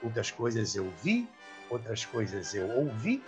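A man's voice: fairly low pitch, huge pitch swings, unhurried, a little mellow, faint music behind. A man in his seventies with a Brazilian accent, hosting with theatrical, emphatic storytelling to camera. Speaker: host and storyteller. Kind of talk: animated anecdotal storytelling to camera. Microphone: clip-on lapel mic.

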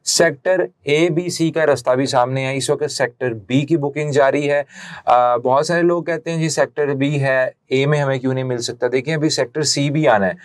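A man's voice: on the high side, dry recording. Pakistani man presenting property, dry close sound. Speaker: real estate consultant presenting a site visit. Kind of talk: promotional property video presentation. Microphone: lapel mic.

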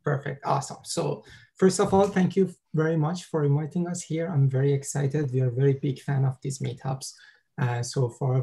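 A man's voice: fairly high pitch, warm tone. Upbeat young man presenting over a headset. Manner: eager, upbeat, self-deprecating. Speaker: company founder giving a webinar talk. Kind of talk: presenting slides in a webinar.

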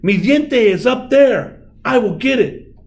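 A man voicing a proud, stubborn, gallant mouse from a storybook, with emphatic drawn-out exclamations. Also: very high voice, expressive, voice pushed up, exaggerated voice. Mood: agitated.

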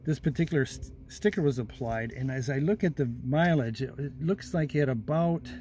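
A mellow, plain-spoken man films a car walkaround on his handheld phone, talking at an easy pace with frequent ums and uhs. His voice often trails off quietly, and faint ambient noise sits behind him. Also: mid-range voice, a little fast. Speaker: vintage car restoration vlogger. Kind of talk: casual walkaround narration behind a handheld camera. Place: old car in a garage and driveway. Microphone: phone camera held in hand.

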